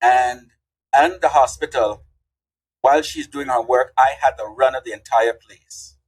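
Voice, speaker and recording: Husky man telling stories. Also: medium pitch, a little slow, low-quality recording. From Guyana. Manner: animated, folksy storyteller. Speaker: guest. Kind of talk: casual interview storytelling.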